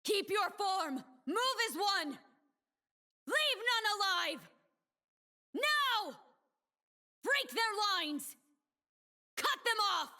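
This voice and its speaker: A proud, measured warrior woman. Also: very high voice, big pitch swings, bright, forceful tone, exaggerated voice. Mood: rallying, fierce. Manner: solemn, defiant, cutting. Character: proud, stern young woman warrior, a blade dancer defending her homeland. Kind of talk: video game character voice lines.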